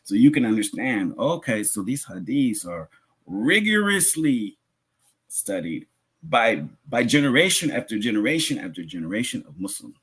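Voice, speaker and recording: Male preacher, Islamic lecture, husky voice, lively swooping intonation, explanatory, emphatic repetition, rhetorical asides. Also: on the low side, moderate pace. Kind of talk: religious lecture, teaching.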